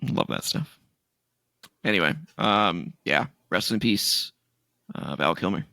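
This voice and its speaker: A man co-hosting a casual gaming podcast, laughing often and reading out news items in a relaxed drawl. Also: low voice, slow, creaky, no echo, studio quality. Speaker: podcast co-host.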